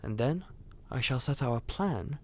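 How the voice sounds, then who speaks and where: A man playing a courteous, loyal royal aide in a fantasy audio roleplay, speaking formally and with measured courtesy. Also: medium pitch, lively intonation, darkish tone. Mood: gracious.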